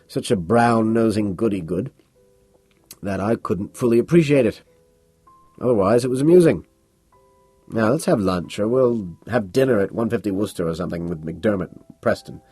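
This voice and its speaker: A man's voice: on the low side, a little mellow, husky, no room sound, full character voice. A man voicing a pompous, dismissive male businessman who talks down to people. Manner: condescending, brushing off.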